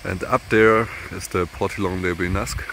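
A man's voice: low voice, slight background noise. Young German man vlogging outdoors. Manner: relaxed, halting, plain-spoken. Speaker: hiking vlogger. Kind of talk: casual vlog narration. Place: outdoors in mountain meadows. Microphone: phone at arm's length.

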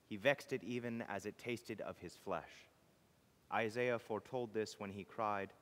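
A man reading a homily as clergy, in a solemn, measured, declamatory liturgical style. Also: on the low side. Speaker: clergy reader. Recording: long reverb of a church.

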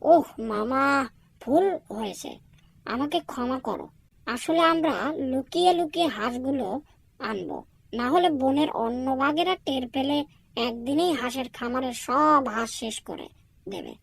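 Woman voicing a high, creaky, sly cartoon fox. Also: lively intonation, unhurried, pauses often, heavily acted. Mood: wheedling. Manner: scheming, wheedling. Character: sly, greedy, flattering young male jungle fox. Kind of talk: cartoon dubbing.

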